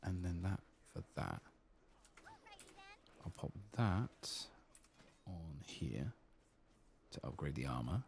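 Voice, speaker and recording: A man giving soft-spoken, partly whispered ASMR commentary as he plays a game, murmuring his thoughts aloud. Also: deep voice, sing-song, takes it slowly, creaky, pauses often, slight background noise. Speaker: gaming channel host.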